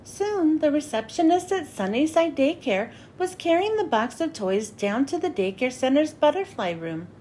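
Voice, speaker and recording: A woman narrating a children's storybook as a read-aloud host, with expressive, clearly phrased delivery. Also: high-pitched, moderate pace, hardly any pauses, slight background noise.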